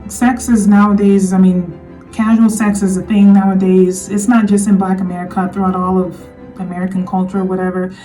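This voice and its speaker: A woman speaks in an earnest, repetitive commentary style, her voice mellow and a little hoarse. She sits somewhat away from the mic. Soft music plays faintly behind her. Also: mid-range voice, little pitch movement, fairly quick, distant mic, rough recording. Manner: earnest, repeats points to drive them home. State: slightly hoarse, losing her voice. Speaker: vlogger. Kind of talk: casual commentary monologue.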